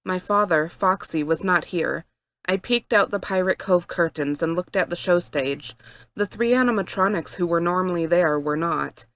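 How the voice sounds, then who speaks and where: A woman reading fan fiction aloud as an expressive story narrator. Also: fairly low pitch.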